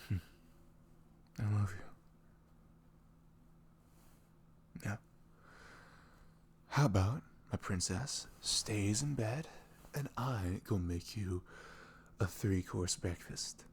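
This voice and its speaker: Soft, low, gravelly-edged caring boyfriend played by a man. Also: slow pace, pauses often, a little hiss or hum. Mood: loving. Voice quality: velvety, soft, often breathy and whispered. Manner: tender, earnest, rambling reassurance. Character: sweet, caring, devoted young boyfriend talking in bed. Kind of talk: intimate ASMR boyfriend roleplay.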